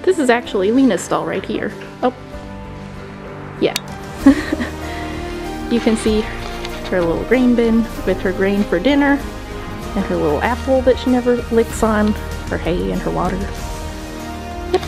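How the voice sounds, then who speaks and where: A woman's voice: on the high side, animated, measured pace, creaky, pauses often, over music. A young woman casually narrating a walking tour of a horse barn, upbeat and chatty as she points things out. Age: young adult. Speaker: vlogger giving a barn tour. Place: horse barn and snowy paddocks outdoors.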